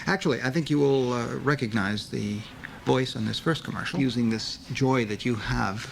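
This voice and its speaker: A man hosting a radio interview, asking deliberate questions and sometimes searching for the right phrasing. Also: mid-range voice, gravelly, poor audio. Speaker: radio host. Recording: archival radio broadcast with faint noise.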